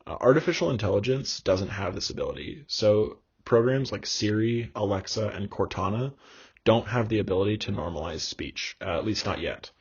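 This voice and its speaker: A young man giving a recorded course lecture, explaining in a measured way with frequent ums and uhs. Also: lowish voice, lively intonation, breathy edge. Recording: dull lo-fi recording.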